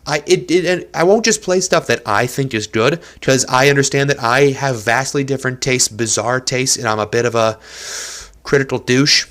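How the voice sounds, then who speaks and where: A man's voice: mid-range voice, normal speed, a bit bright. A young man hosting a casual solo podcast from a small apartment, rambling and self-deprecating, with a nose stuffed up from allergies. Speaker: podcast host.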